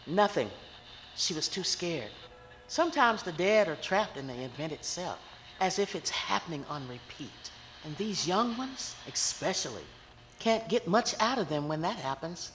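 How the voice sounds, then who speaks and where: An actress playing a defiant, solemn psychic medium in an audio horror drama, speaking with theatrical emphasis. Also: female voice, lowish voice, expressive, normal speed, slight background noise, lightly acted, poor audio. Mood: grave, intent. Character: Southern woman psychic medium, defiant and solemn, aiding investigators.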